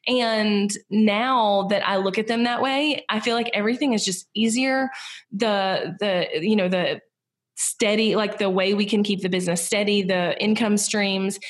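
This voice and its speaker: A woman's voice: mid-range voice, unhurried. Southern US woman in her thirties, mid-range voice, chatty interview guest who rambles and fills with "like" and "you know". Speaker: podcast guest, business owner. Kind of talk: casual conversational interview.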